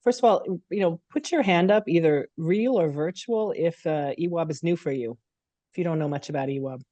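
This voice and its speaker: Woman with a cold, dark dry voice. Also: deep voice, fairly quick, dry recording, lo-fi sound. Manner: conversational, speaks straight to the audience. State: has a cold. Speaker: CEO of a nonprofit. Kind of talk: webinar welcome talk. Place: her apartment. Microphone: video call.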